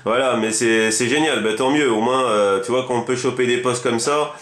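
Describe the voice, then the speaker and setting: A man's voice: medium pitch, normal speed, a bit bright, echoey room. A man chatting casually as a radio hobbyist, rambling and enthusiastic, often repeating himself. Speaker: amateur CB radio operator.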